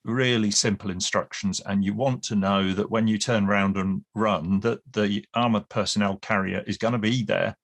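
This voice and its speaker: A man with a fairly low voice lays out his points at length in a relaxed podcast discussion. He restarts his phrases often and slips in dry humour. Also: moderate pace, vocal fry, hardly any pauses. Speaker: guest expert on Cold War British Army.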